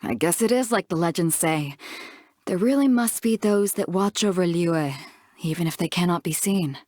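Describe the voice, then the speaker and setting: A woman's voice: on the low side, moderate pace, darkish tone, no room sound, studio quality, close to an everyday voice. A woman playing a bold, big-hearted ship captain for a video game, with a confident, frank and laid-back manner. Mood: reflective storytelling. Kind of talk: video game character voice lines.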